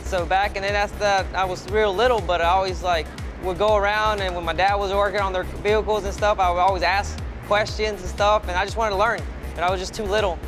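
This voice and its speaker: Texan pit-crew guy, quick casual delivery, high-ish voice, music bed. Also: little pitch movement. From San Antonio, Texas. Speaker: pit crew tire changer. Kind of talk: interview.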